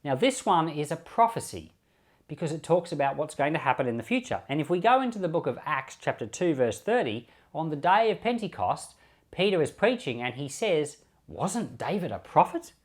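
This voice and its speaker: A man's voice: fairly high pitch, big pitch swings, normal speed. A man, a pastor reading scripture and explaining it informally. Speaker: pastor reading and commenting on Bible.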